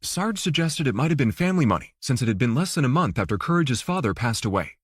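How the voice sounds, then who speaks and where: A man's voice: mid-range voice, big pitch swings, fast talker, slightly rough, no echo, studio quality. A man reading scripted fiction as an audiobook narrator.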